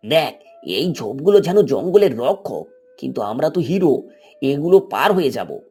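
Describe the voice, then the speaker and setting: Man playing a husky, lively village boy. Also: medium pitch, normal speed, soft background music. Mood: apologetic, resolute. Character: brave, apologetic young village boy. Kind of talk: Bengali moral-story cartoon voice acting.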